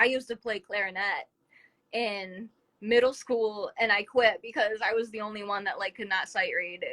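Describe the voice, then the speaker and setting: Bright-voiced, effusive woman hosting. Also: a little high. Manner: effusive, encouraging. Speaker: talk show host interviewing a guest. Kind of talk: casual livestream interview chat. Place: livestream video call from a phone.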